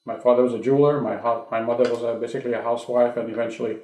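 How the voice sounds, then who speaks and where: Hungarian-born man in his sixties, oral history interviewee, reflective with restarts, mid pitch, echoey room. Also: flat delivery, racing, dark tone. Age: 60s. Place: his home. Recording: roomy home interview.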